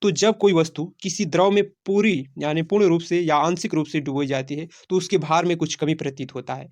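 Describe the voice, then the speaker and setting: A man's voice: a little high, fairly even intonation, brisk, a little mellow. A man teaching a quiz-style lesson, repeating phrases and answering his own questions. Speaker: teacher of exam-prep science class.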